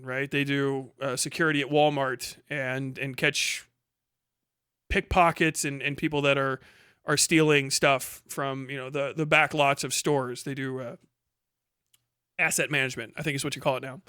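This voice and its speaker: A man's voice: medium pitch, sharp tone, clear, resonant tone, no room sound, studio quality. A man giving casual, rambling explanatory commentary as a streamer, informal and swearing freely. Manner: informal, heavily profane.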